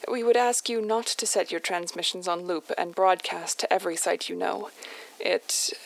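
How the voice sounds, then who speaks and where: Woman playing formal agency handler lady, measured and official, bit of vocal fry, audio drama radio message. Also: medium pitch, rough recording. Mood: formal, mildly stern. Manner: official, measured, politely reprimanding. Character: formal, composed female handler at secretive agency.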